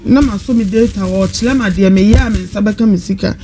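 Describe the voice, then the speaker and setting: Mellow-voiced Ghanaian woman, noisy recording. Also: mid-range voice, a little fast. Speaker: guest telling her personal story. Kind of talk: spontaneous personal account in Twi radio discussion. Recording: noisy live radio studio sound.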